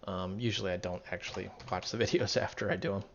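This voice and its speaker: A man with a voice going raspy, walking through code in a casual tutorial, thinking aloud and correcting himself as he goes. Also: sits low, fairly even intonation, fast talker, a bit bright, a little hiss or hum. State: losing his voice, raspy. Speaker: programming tutorial instructor. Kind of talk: casual screen-recorded coding walkthrough. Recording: dull lo-fi mic, keyboard typing behind. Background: keyboard typing and clicking.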